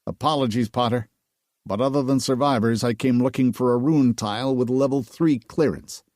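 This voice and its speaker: A man's voice: mid-range voice, moderate pace, a little mellow, no room sound, clean studio sound, close to an everyday voice. A man voicing a curt, stern swordsman. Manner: curt and restrained, terse replies. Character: stern, weary adult male swordsman, impatient.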